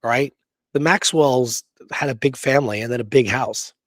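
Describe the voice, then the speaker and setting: A man hosts a history podcast in a conversational, emphatic style, working loosely from his notes. His pitch sits in the middle of the male range, and the sound is dry with hardly any room in it. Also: moderate pace, no room sound. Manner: emphatic, conversational, often checking with "right?" and "okay". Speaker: podcast host. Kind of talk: casual history talk from notes.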